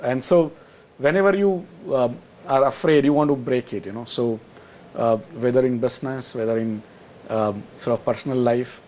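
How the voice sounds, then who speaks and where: A man's voice: medium pitch, normal speed, darkish tone, a little hiss or hum, low-quality recording. A middle-aged man from Gujarat, answering interview questions with many fillers and restarts. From Gujarat, India. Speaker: guest, exchange chief executive.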